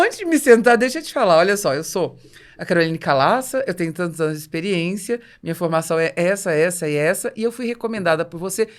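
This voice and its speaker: A woman with a Brazilian accent and a mid-range voice hosts a business podcast. She chats conversationally, tells personal stories with animation and acts out the dialogue as she goes. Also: mid-range voice. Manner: animated storyteller, acting out dialogue. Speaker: podcast host and business coach.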